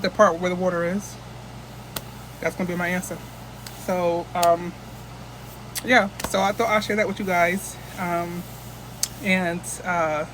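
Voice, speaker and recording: A woman's voice: fairly low pitch, noisy background. A woman from the United States chatting casually into her phone camera as a vlogger, rambling and restarting often.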